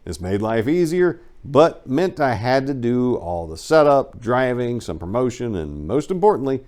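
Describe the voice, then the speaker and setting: A man's voice: mid-range voice, sing-song, moderate pace, a little mellow. A man narrating a first-person memoir as a dramatic reading, with a wry, conversational storytelling manner. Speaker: audiobook narrator.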